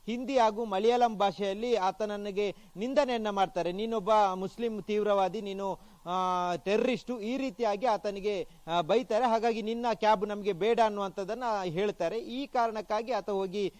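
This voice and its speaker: A man reporting live news, explaining haltingly and repeating himself. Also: sits high, darkish tone, no room sound.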